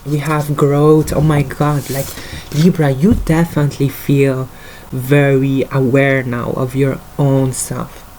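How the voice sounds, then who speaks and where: A man's voice: mid-range voice, little pitch movement, slow pace, darkish tone, a little hiss or hum, low-quality recording. A man with a French accent, a native French speaker, giving a casual tarot card reading in English, talking hesitantly with many fillers. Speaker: tarot reader.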